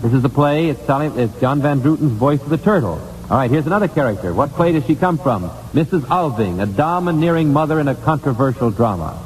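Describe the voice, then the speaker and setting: A man's voice: mid-range voice, expressive, brisk, hardly any pauses, a little mellow, big-room sound, a little hiss or hum, rough recording. An American man hosting a 1950s radio quiz show, putting questions to contestants on an old broadcast recording.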